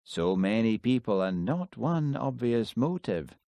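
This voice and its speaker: A man's voice: mid-range voice, big pitch swings, a little slow, warm tone, no room sound, voice pushed up. A man reading an audiobook, voicing a pompous senior police detective who talks in a stiff, officious way. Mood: brusque. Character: pompous male detective superintendent.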